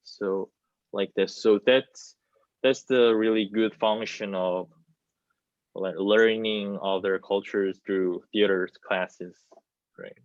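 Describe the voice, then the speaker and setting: A man in his twenties from South Korea with a Korean accent, giving halting answers full of repeated phrases on a video call. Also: fairly low pitch, fairly even intonation, no room sound. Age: 20s. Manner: halting, repeats phrases, non-native English. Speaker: theater student. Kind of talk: hesitant spoken answer in an online panel discussion. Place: small plain indoor room. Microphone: video call, wireless earbuds. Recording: muffled video-call audio.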